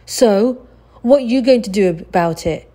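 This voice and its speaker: A woman's voice: mid-range voice, animated, a little slow, mellow, pitched above the performer's own voice. A sulky apprentice boy from a storybook, played by a woman, taunting and jeering. He is a cowardly bully with a whiny edge to his speech. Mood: mocking.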